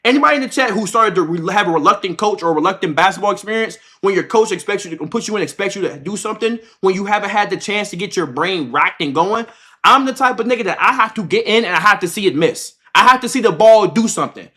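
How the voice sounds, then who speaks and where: A man's voice: sits high, fast talker. A man in his twenties livestreaming, telling a story casually in slangy, swear-heavy speech, repeating himself and acting out what was said. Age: 20s. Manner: animated, repetitive retelling with acted-out quotes. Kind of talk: casual reaction-stream storytelling to chat.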